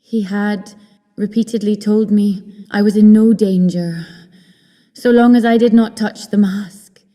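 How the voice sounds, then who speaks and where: An actress playing a frightened, remorseful young captive woman, narrating her ordeal in a hushed, solemn, deliberate way for a gothic audio drama. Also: mid-range voice, steady tone, natural voice. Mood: shaken, solemn. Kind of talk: dramatic first-person narration. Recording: long hall reverb, music underneath. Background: music.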